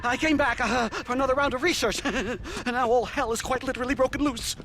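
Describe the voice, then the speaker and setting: An actor playing a nervous, pompous, cowardly professor in a video game, stammering and wordy. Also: male voice, extremely high pitch, fairly quick. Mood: flustered, pompous. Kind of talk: video game cutscene acting.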